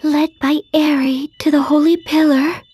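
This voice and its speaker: A woman's voice: high voice, level tone, unhurried, a little mellow, no echo. A woman playing a sweet, anxious young girl in a fantasy video game, delicate and earnest in manner. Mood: recalling.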